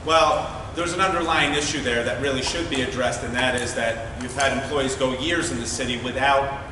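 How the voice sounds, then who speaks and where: A man running for mayor, laying out his plans at a forum in a measured, methodical way with frequent "uh" fillers. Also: medium pitch, steady tone. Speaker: mayoral candidate. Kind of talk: campaign forum speech. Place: large meeting hall. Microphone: distant room mic. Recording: echoey hall from far off, noisy.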